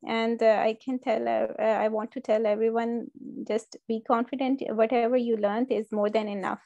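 A woman's voice: a little high, flat delivery, normal speed, vocal fry, dark tone, dry recording, muffled recording. A woman, a former student invited as a guest, giving brief, hesitant thanks on a video call with many fillers.

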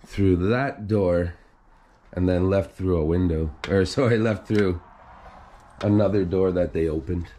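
Low-voiced man touring an empty house. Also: a little slow. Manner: relaxed, admiring, thinking aloud. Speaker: urban explorer host. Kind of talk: casual walkthrough narration. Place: indoors in an empty abandoned house.

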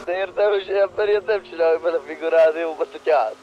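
Brief: male gamer, slightly high voice, casual crude banter, recorded over very loud car noise. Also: lo-fi sound. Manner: crude, teasing. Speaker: player in a roleplay gaming group. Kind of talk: casual chat during gameplay. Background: racing game car sounds.

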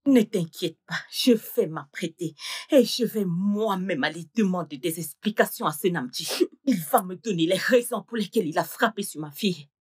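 A woman's voice: on the low side, moderate pace, darkish tone, breathy edge. An actress dubbing a fierce, protective middle-aged mother who scolds in indignant outbursts. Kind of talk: dubbed film acting.

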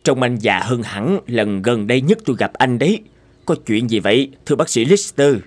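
Man voicing earnest, lively cartoon medical man. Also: mid-range voice, normal speed, close to an everyday voice. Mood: earnest, concerned. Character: earnest, troubled male doctors and professors, weary and thoughtful. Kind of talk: animated cartoon drama dubbing.

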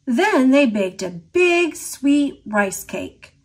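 A woman narrating a picture book as an expressive read-aloud for children. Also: high voice, extremely slow, breathy edge. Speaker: storybook narrator.

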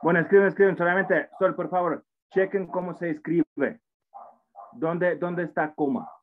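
A man's voice: sits high, moderate pace. A man in his forties with a foreign accent in Spanish, teaching an English class online in a patient, encouraging way that often repeats itself. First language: not Spanish. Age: 40s. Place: indoor room at a computer. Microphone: computer mic on a video call. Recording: tinny phone-like online call audio.

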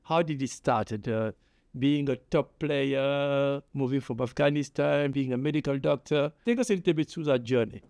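A man hosting an interview from a studio set, giving measured, formal introductions and questions. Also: medium pitch, a little mellow, no room sound. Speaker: host.

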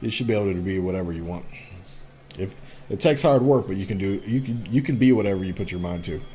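A man's voice: low voice, fast, mellow. A man in his thirties doing let's play commentary, reading game text aloud and chattily reacting as he goes. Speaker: gaming let's play host. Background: game music.

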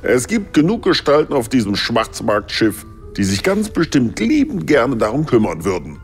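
A gravelly, blunt crewmate. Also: male voice, mid-range voice, very animated, normal speed, faint music behind.

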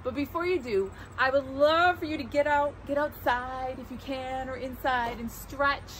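A woman's voice: high-pitched, moderate pace, fairly bright tone, slight background noise. A middle-aged woman giving a children's read-aloud and casual instruction, speaking to young listeners in simple, encouraging phrases. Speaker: teacher reading a picture book aloud to children.

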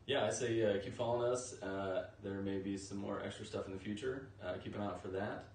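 A man's voice: on the low side, steady tone, brisk, darkish tone. A man in his thirties chatting casually as an interview guest. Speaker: interview guest, novice podcast voice actor. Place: indoors on a sofa in a living room. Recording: roomy, distant mic, faint room noise.

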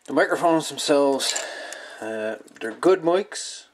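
A man giving a casual, folksy hands-on demonstration as a hobbyist, musing and pausing as he goes, recorded indoors at a workbench. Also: on the high side, expressive. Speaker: hobbyist radio video maker. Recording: light background noise, clicks of handled parts.

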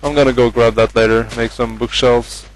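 A man's voice: mid-range voice, fairly even intonation, normal speed, a little hiss or hum, rough recording. A young man from Norway with a Norwegian accent, casually commentating his game with many hesitant fillers. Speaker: gamer.

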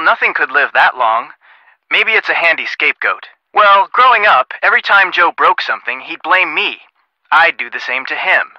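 A sensible young American detective on a call in a video game. His voice is fairly high and very bright, with animated, wry delivery. The sound is close and a little dull. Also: no room sound. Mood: friendly. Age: young adult. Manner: wry, conversational. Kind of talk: video game phone dialogue.

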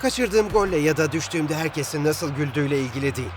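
An actor playing a proud, resentful young football player in a children's cartoon dub, with a sarcastic, grumbling manner. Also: male voice, a little high, expressive, quick pace, background noise, natural voice. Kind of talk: Turkish-dubbed children's cartoon acting.

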